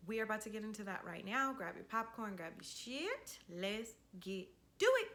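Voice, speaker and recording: A woman with a bright, forceful voice tells a story like she is gossiping with a friend. Her delivery is animated and sassy, full of slang and swearing. She often tags her sentences with little check-ins to the listener. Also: medium pitch, normal speed. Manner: checks in with "right?" and "you know". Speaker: storytime video host.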